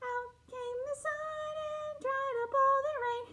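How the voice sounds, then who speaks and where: A woman voicing a teeny tiny baby spider in a very high, sing-song voice for a children's story. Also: steady tone, measured pace, pushed and bright, exaggerated voice. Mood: cheerful.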